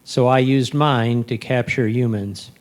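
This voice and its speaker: Smooth, clear male narrator. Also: medium pitch, fairly even intonation, a little slow. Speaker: club member reading photo captions. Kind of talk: scripted narration read aloud.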